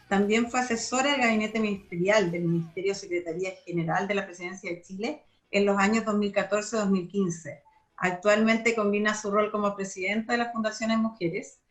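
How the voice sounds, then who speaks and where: A woman hosting a radio interview, speaking haltingly with many fillers and restarts. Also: medium pitch. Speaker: radio host.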